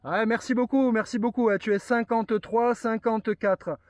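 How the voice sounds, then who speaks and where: Man, high pitch, very clear voice, casual radio chat, explanatory. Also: steady tone, normal speed, dry recording. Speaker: amateur radio operator.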